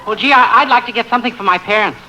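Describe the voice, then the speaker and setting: High-pitched, naive young fellow. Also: male voice, rapid-fire, pushed and bright, dull audio, some chatter behind, heavily acted. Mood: innocent, eager. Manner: gee-whiz, guileless. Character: naive, eager young man, innocently chatty. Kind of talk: 1950s radio comedy acting. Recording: very noisy old radio recording.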